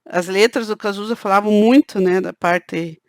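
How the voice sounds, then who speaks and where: A woman's voice: mid-range voice, normal speed, dry recording, studio quality. Woman with a southern Brazilian caipira accent. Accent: Brazilian Portuguese, southern countryside (caipira). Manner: conversational, peppers sentences with "né". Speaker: channel host. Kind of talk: casual talk to camera.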